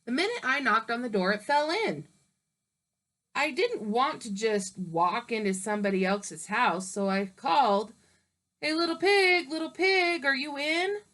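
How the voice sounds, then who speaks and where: A woman's voice: high-pitched, expressive, a little slow, fairly bright tone. A woman voicing a male wolf who narrates his own version of a storybook in a chatty, persuasive way. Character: smooth-talking male wolf telling his side.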